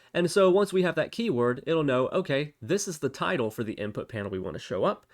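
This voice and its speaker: A man narrates a step-by-step software tutorial in a conversational style. His mid-pitched voice moves up and down in a lively way as he explains things. He often sounds like he is thinking aloud. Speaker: tutorial host. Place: indoors at a computer, voice-over for screen recording.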